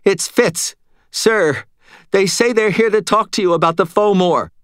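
Man voicing a high, anxious teenage boy apprentice. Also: a little slow, fairly bright tone, clean tone, no echo, clean studio sound. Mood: frantic. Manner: anxious, strained, forced casualness. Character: nervous teenage boy, a sorcerer's frightened apprentice.

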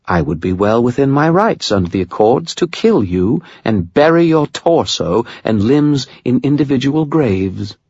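A man's voice: medium pitch, very animated, unhurried, hardly any pauses, darkish tone, breathy edge, dry recording, muffled recording, pitched above the performer's own voice, exaggerated voice. A man voicing a menacing young female vampire baroness, haughty, formal and precise, with a British accent. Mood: cold, formal.

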